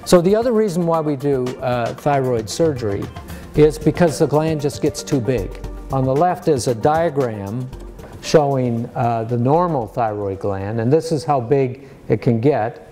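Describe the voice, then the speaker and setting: An American man in his sixties, a surgeon explaining medicine to a lay audience in a measured, plain-spoken way. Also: medium pitch, lively intonation, mellow, soft background music. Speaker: surgeon giving a public lecture. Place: medium-sized lecture room.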